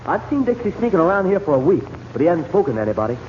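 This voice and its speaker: A man playing an earnest, excitable, anxious ranch hand in a radio western, with an American accent. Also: high-pitched. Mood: anxious, hurried. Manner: earnest, hurried. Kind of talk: 1940s radio western acting. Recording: muffled old radio recording, faint noise.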